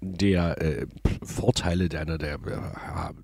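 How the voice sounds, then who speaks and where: A man's voice: sits low, fairly even intonation, slow pace, creaky, darkish tone, husky, dry recording, studio quality. A man co-hosting a podcast, talking casually with lots of fillers and self-corrections. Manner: chatty, many fillers and restarts. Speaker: podcast co-host. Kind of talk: casual chat.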